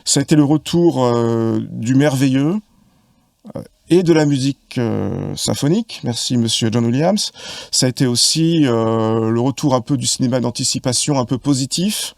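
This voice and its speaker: A man's voice: mid-range voice, moderate pace, vocal fry, a little mellow, no echo. A man in his fifties from Paris, a guest on a podcast, talking about film in long, reflective explanations with frequent hesitations and restarts, recorded on a close mic. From Paris, France. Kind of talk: casual conversation.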